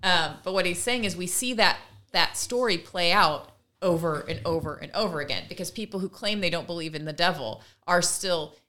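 Woman podcast cohost, lowish pitch, bright forceful voice, explaining stuff earnestly, chatty. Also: normal speed. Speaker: podcast co-host. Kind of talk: casual conversational discussion.

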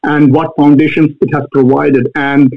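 A man with an Indian accent, a guest answering interview questions earnestly, pausing often on fillers. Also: a little high, little pitch movement, gravelly. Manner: earnest, halting with many fillers. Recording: tinny phone-like line.

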